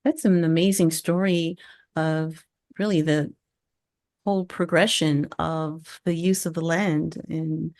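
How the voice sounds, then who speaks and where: A middle-aged woman with a slightly low voice hosts a conversational interview. She phrases things in a measured, reflective way and shows appreciation for her guest. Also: fairly even intonation, a little mellow. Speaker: podcast host, interviewer. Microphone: studio microphone with pop filter, video call.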